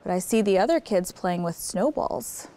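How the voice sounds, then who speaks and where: A woman's voice: medium pitch, animated, normal speed, dark tone, slight background noise. A woman hosting story time, reading a picture book aloud expressively and putting simple questions to young listeners. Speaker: children's story time host.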